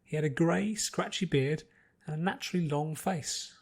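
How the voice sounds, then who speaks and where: A man's voice: a little high, moderate pace, creaky, breathy edge. A man reading scripted story narration as an audiobook narrator.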